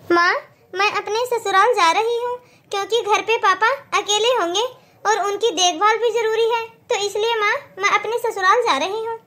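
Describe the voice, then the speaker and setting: A woman plays a self-interested married daughter in a cartoon. She sounds brisk and dismissive, with an extremely high, bright voice. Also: fairly even intonation. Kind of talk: cartoon moral story acting.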